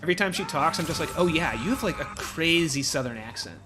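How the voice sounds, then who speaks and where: A man's voice: fairly high pitch, animated, quick pace, music in the background. A young man, chatting casually while playing a video game, hesitant and full of restarts. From Ohio, USA. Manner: rambling, hesitant, many fillers and restarts. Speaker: co-host. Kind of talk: casual gameplay chat.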